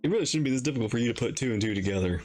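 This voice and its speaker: A man reacts to a video game as the host. His delivery is excitable and full of exclamations, with pitch jumping around freely. Faint music plays underneath. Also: racing. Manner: excitable, exclamatory, repeats phrases.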